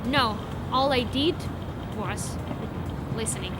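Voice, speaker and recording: Eager high-voiced woman, Ukrainian accent. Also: slow pace, heavy background noise. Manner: eager, emphatic, with some hesitations. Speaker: vlogger. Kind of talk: enthusiastic to-camera vlog. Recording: background music under voice.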